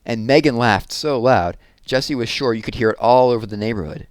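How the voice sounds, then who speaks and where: A man reading a children's book aloud in an expressive storytelling style, leaning on key words for drama. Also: medium pitch, fairly quick, dry recording, clean studio sound.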